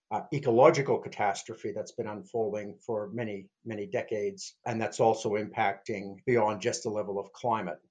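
A man's voice: lowish voice, a little mellow, breathy edge. An older man, an author and activist, answering interview questions hesitantly with fillers.

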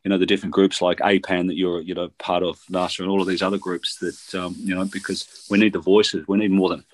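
A man's voice: low voice, flat delivery, fast talker. A man co-hosting a talk show, urging listeners to take action.

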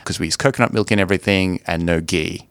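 A man with a fairly low voice, chatting as a guest in a casual interview. He is enthusiastic and talkative. The sound is close and very dry, with little room in it. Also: fast, fairly bright tone, clear, resonant tone, dry recording. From Sri Lanka.

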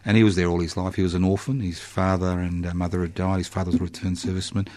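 A man with an Australian accent and a low voice, recorded dry. He interviews in a casual, chatty way, interjecting short encouraging agreements and simple questions. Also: little pitch movement, fast talker, darkish tone, no echo.

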